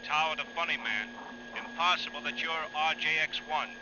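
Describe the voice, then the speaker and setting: An actor playing a brash airfield tower operator over the radio in an old-time radio drama, with clipped procedural delivery. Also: male voice, on the high side, moderate pace. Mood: brusque, skeptical. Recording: muffled, noisy old radio recording.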